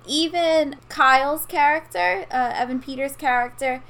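A woman's voice: high voice, a little slow, a bit bright. A woman with a New Orleans Yat accent, co-hosting a podcast in casual, opinionated chat. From outside New Orleans, Louisiana. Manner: chatty, opinionated, laughs easily. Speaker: podcast co-host.

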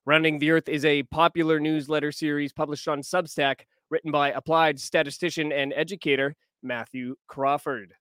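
A man's voice: a little high, fairly bright tone, no echo. A man hosting a podcast, reading upbeat scripted introductions and making playful jokes with his guests. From Canada. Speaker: podcast host. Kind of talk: podcast conversation.

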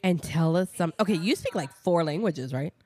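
A woman's voice: fairly low pitch, lively intonation, a little mellow. A chatty woman hosting a casual interview podcast. She gushes freely and laughs easily. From United States. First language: Italian. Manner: chatty, gushing, quick to laugh. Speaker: podcast host.

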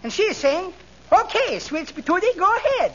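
An actor playing an excitable, henpecked Greek man with a Greek accent and mangled English in a 1940s radio comedy. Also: very high-pitched, normal speed, heavily acted. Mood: earnest, comic. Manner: broken grammar, mangled sayings. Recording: noisy, muffled 1940s radio recording.

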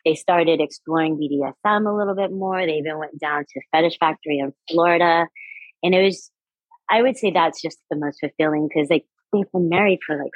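A woman's voice: low voice, dry recording, highs cut off. A woman in her forties, a sex educator, talking enthusiastically in a casual interview and laughing easily. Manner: enthusiastic, upbeat, quick to laugh.

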